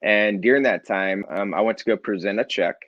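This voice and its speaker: Middle-aged male guest, low and earnest, dead-dry lo-fi mic. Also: brisk, no echo. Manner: relaxed, earnest, light self-deprecating humor. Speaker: nonprofit founder and author. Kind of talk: casual interview storytelling.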